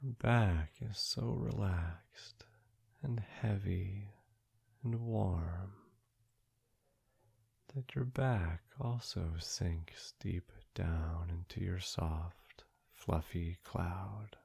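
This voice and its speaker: A man guides a sleep meditation extremely slowly, leaving long pauses between short, soothing phrases. His voice is low and husky, gentle and hushed, often dropping toward a whisper. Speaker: meditation guide, podcast host.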